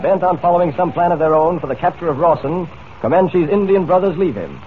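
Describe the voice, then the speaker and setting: An actor as the dramatic storyteller of a western adventure, giving scripted 1940s radio narration. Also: male voice, a little high, lively intonation, a little fast, lightly acted. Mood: dramatic, suspenseful. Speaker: serial narrator. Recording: tinny, noisy 1940s radio broadcast.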